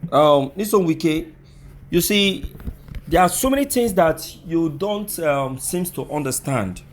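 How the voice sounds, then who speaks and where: A man with a Nigerian accent and a fairly high voice delivers opinionated news commentary. He speaks straight to his listeners in an emphatic, rhetorical way. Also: expressive, takes it slowly, slight background noise.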